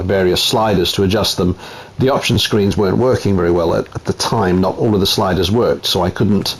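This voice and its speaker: Italian man, lowish voice, relaxed game review at home, faint room hum. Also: creaky. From Italy. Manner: relaxed, chatty, frequent ums. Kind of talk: casual gameplay commentary.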